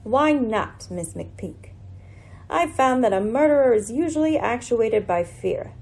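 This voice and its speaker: Woman doing a radio mystery lady detective, deliberate and formal, totally in command, bit raspy. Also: fairly high pitch, expressive, darkish tone. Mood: accusing, controlled. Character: composed, incisive lady detective unmasking a murderer. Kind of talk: radio mystery drama acting.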